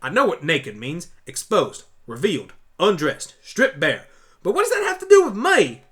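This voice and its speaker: A man's voice: sits high, huge pitch swings, moderate pace, a bit bright, exaggerated voice. A man voicing a blunt, stubborn young country pony in a fan audio drama. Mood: puzzled. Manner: folksy, blunt, exclamatory. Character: plain-spoken, stubborn young country mare pony turned human.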